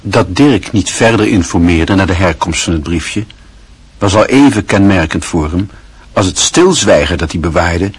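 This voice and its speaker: A man narrating a radio play in a measured, literary storytelling manner. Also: low voice, lively intonation, slightly rough, dry recording.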